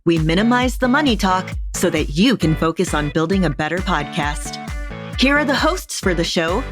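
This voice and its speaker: A woman's voice: on the low side, animated, moderate pace. A woman reading a scripted promotional introduction for a podcast as its announcer. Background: music.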